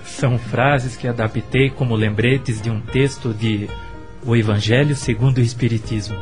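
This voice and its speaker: A man's voice: mid-range voice, fairly even intonation, natural voice. Man acting a devoted, earnest Brazilian husband. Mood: warm, calm. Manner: earnest, tender, measured. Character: kind, devout, devoted middle-aged husband and expectant father. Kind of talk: Brazilian radio soap opera acting. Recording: music under the voice.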